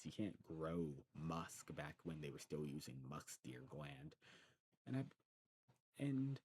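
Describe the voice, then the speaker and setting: A man's voice: a bass voice, darkish tone, a little hiss or hum. A man doing an ASMR roleplay as a friendly, knowledgeable shopkeeper, chatting informally in a rambling, self-correcting way. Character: friendly demon snuff shop keeper, knowledgeable and enthusiastic. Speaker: ASMR performer playing a snuff shopkeeper. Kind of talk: ASMR shop roleplay, casual recommending chat.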